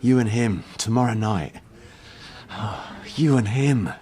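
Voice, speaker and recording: A man playing a gentle, hesitant, lovesick lover in a radio drama. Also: on the low side, slow. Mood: anguished longing. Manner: hesitant, ardent. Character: smitten, tormented lover, gentle and yearning.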